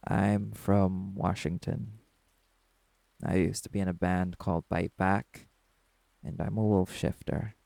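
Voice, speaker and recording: A man's voice: flat delivery, slow, long pauses, warm tone, no room sound, exaggerated voice. A man playing a brash, guarded young werewolf musician in an audio drama, with curt, sarcastic, dismissive delivery. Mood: grudging, weary. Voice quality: gravelly, low. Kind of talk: dramatic audio roleplay acting.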